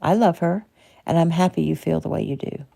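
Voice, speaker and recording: Brief: tender pleading man voiced by a woman, low, warm, gravelly. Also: steady tone, a little fast, full character voice. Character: gentle worried father, husband.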